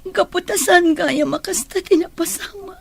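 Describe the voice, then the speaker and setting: A woman with an Ilocano accent acting a guilt-ridden, soft-hearted young woman in an audio drama, speaking apologetically. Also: very high-pitched, normal speed, gravelly. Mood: remorseful.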